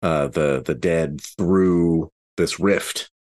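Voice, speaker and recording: A man playing an earnest, skeptical investigator in a tabletop game, speaking in a hesitant, halting way. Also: low-pitched, a little mellow. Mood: explaining. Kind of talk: improvised in-character tabletop dialogue.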